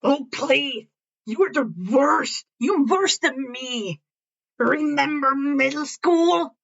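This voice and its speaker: Woman as an abrasive teenage boy, blunt, barking, seething, high for a boy, storybook fan fiction reading. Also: takes it slowly, vocal fry, fairly bright tone, muffled recording, full character voice. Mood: mocking. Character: brash, abrasive, sharp-tongued teenage boy, hero student.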